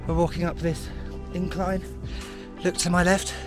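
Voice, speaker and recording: A chatty man in his thirties with a fairly high voice films himself outdoors at arm's length, gushing about the sights. Music plays clearly beneath his talk, with street traffic around him. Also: moderate pace. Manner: chatty, excitable, playful asides. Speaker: travel vlogger. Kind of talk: casual travel vlog, talking to camera. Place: outdoors on sunny town streets. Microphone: handheld camera at arm's length.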